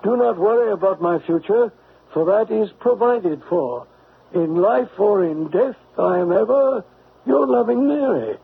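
An actor playing a bumbling, good-hearted detective's companion, hesitant, muttering and puzzled, in an old-time radio drama. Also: male voice, high-pitched, animated, unhurried, vocal fry, heavily acted. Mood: concerned. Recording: tinny, noisy old radio broadcast.

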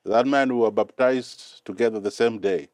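A man's voice: mid-range voice, fairly even intonation, moderate pace, a little mellow, no room sound. A man from Kenya with a Kenyan accent, speaking as a guest in a conversational interview, earnest and often repeating words as he gathers his thoughts. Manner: earnest, repeats words while finding them. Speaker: guest, mission director.

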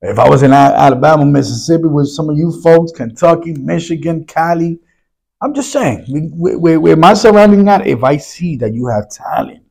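A hoarse man who is losing his voice hosts a solo podcast, rambling loosely and clearing his throat often. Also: on the high side, animated, moderate pace, a little mellow. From New York City. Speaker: podcast host.

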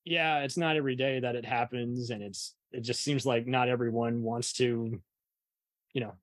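A man hosts a casual film podcast. His voice is medium in pitch and recorded dry, with little room sound. He talks conversationally. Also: fairly even intonation, moderate pace, dry recording. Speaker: podcast host.

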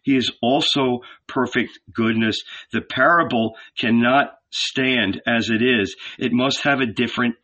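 A man voicing a calm male teacher who tells parables in a measured, solemn manner, in a storybook reading. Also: medium pitch. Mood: gentle, grave.

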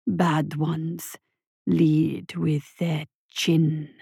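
Woman acting a ancient-fable tortoise, low rumbling voice, very slow, scornful, menacing, storybook creature. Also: little pitch movement, gravelly, warm tone, slightly rough, dry recording, studio quality, exaggerated voice. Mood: commanding, contemptuous. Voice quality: deep, heavy, rumbling. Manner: slow, weighty, authoritative delivery. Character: slow, scornful, menacing mysterious tortoise with heavily accented speech.

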